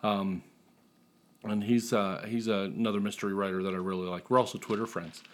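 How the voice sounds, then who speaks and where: A man's voice: on the low side, steady tone, brisk. Casual, joking man chatting as an author guest. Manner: joking, self-deprecating. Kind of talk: casual interview chat.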